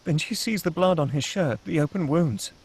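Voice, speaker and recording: A man's voice: fairly high pitch, normal speed, darkish tone, slightly rough. A man reading stage directions as the narrator of a screenplay, in a dramatic scripted style.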